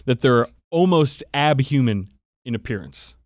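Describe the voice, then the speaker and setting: A man's voice: medium pitch, expressive, a little slow, no room sound, studio quality. A man hosting a podcast, chatting casually and enthusiastically about game lore with emphatic swearing. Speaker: podcast host. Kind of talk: casual, profane hobby chat explaining game lore.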